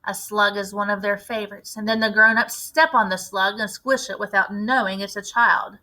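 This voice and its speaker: A woman voicing a firm, grimly knowing elderly grandmother in a storybook, with measured, grave delivery. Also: mid-range voice, steady tone, pushed and bright, lightly acted, low-quality recording. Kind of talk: storybook read-aloud character voice.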